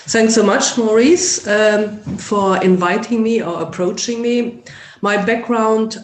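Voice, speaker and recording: A woman's voice: fairly high pitch, slow. A woman from Germany with a German accent, a sociology lecturer speaking as a guest in a podcast interview, thoughtful and academic, searching for words. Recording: roomy echo.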